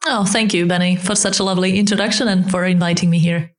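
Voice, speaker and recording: A woman's voice: on the low side, steady tone, quick pace. A woman from Slovakia, an interpreter and language coach, chatting as a guest and telling her story with small restarts. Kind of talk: casual interview.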